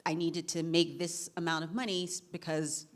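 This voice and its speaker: Low-voiced chatty woman panelist. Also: little pitch movement. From Virginia, US. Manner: chatty, self-correcting, quick bursts. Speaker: panelist, managing director. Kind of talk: panel discussion.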